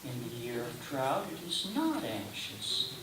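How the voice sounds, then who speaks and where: A man reads scripture solemnly and very slowly, declaiming each short phrase and pausing between them. He is recorded at some distance in an echoey space, with clear hiss behind the voice. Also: mid-range voice, wildly swooping pitch. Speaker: scripture reader. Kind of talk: solemn liturgical scripture reading. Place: roomy indoor church gathering space. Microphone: distant microphone.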